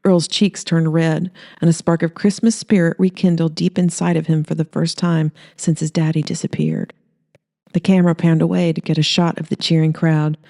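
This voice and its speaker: A woman's voice: sits low, little pitch movement, moderate pace, gravelly, warm tone. A woman reading scripted fiction aloud as a storyteller. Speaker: author reading aloud, host.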